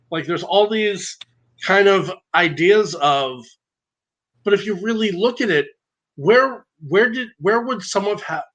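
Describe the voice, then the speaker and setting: A man speaking as a podcast guest, loud and emphatic, talking earnestly about his own experience. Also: a little high. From grew up in a divorced family. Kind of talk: conversational podcast interview.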